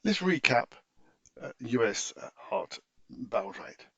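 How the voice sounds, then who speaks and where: A man giving an unscripted, methodical screen-share software tutorial in an explanatory, instructive way, on a dull recording. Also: mid-range voice, big pitch swings, slow pace, creaky, no echo.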